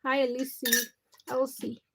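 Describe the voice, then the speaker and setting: A woman's voice: high-pitched, flat delivery, takes it slowly, gravelly, noisy background. A woman with a Ugandan accent chatting casually as a livestream host, speaking to viewers in short, often repeated phrases. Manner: short, fragmented phrases with repetition.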